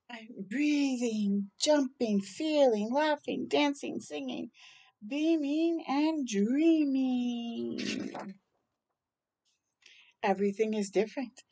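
An older woman hosting a children's storytime, reading a rhyming picture book aloud in a dramatic, deliberate way with brief asides. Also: on the high side, a little mellow. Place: outdoors on a porch.

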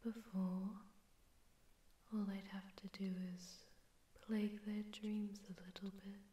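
Woman doing a seductive demon lady for asmr roleplay, breathy dark whisper, condescending, echoey effect on it. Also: medium pitch, flat delivery. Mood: puzzled, condescending. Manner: slow, condescending, teasing. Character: seductive, haughty female sleep paralysis demon. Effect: echoing distortion effect.

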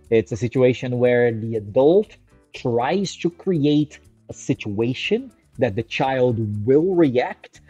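A man's voice: medium pitch, dark tone, husky, no room sound, muffled recording. A man in his thirties from Brazil, a native Portuguese speaker, giving a casual educational talk on infant care to a desk webcam in a small room, often checking in with "right?" and drawing out his list headings. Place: small indoor room with a screen on the wall.

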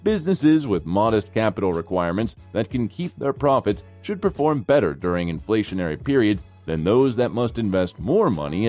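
Lively low-pitched male explainer narrator. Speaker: narrator of a finance explainer video. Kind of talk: scripted narration. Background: background music.

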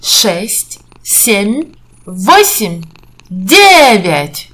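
A woman's voice: medium pitch, big pitch swings, very slow, fairly bright tone. A woman narrating an educational video for young children in an encouraging, sing-song teacher's manner, putting questions to the viewer. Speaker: presenter of a children's counting video.

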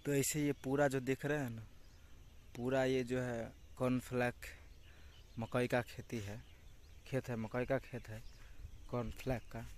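A man's voice: mid-range voice, flat delivery, a little slow, pauses often. A young man from Bihar with a Bihari accent, casually narrating a farm tour for a vlog in a repetitive, explanatory way, pointing things out. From Bihar, India. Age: young adult. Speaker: vlogger. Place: open farm fields outdoors. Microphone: handheld phone. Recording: outdoor phone recording with clear background noise. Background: outdoor rural ambience.

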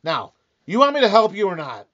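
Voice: medium pitch, very animated, fairly quick, fairly bright tone. An actor playing a weary, sarcastic, penny-pinching husband in an old-time radio comedy, grumbling and blustering wryly. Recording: dull, lo-fi band-limited sound.

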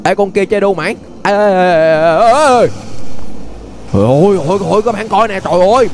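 A young man with a Southern Vietnamese accent giving excited, exclamatory gameplay commentary, repeating phrases and dropping in English interjections. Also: high-pitched, brisk, slight background noise. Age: young adult. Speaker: gaming YouTuber. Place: indoor gaming room.

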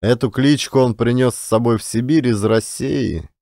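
A man narrating classic literary fiction as an expressive audiobook storyteller. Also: mid-range voice, moderate pace, gravelly, no echo, studio quality.

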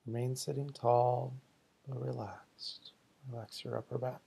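Guy doing guided meditation, slow soothing delivery, breathy husky voice with lots of fry. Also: medium pitch, flat delivery, mellow. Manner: slow, deliberate, soothing, short pausing phrases.

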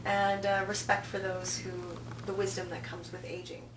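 A woman's voice: mid-range voice, little pitch movement, moderate pace. A woman in her thirties speaking casually as an interview guest, telling anecdotes and acting out quoted remarks. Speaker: festival programming director, interview guest. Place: small office, indoors. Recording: roomy, distant mic, noticeable hum.

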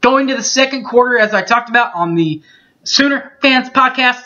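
A man in his thirties reading through postgame football stats from his bedroom, steady and methodical, with small slips he corrects as he goes. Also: very high voice, moderate pace. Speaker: sports fan recapping a game. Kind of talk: webcam postgame stats recap. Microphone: webcam mic. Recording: dull lo-fi webcam audio.

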